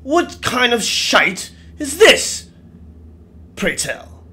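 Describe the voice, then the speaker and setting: Indignant spectral army colonel, played by a male storyteller, pompous archaic speech, mock old English accent, raised pitch, ringing bright delivery. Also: huge pitch swings, extremely slow, heavily acted. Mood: scornful, indignant.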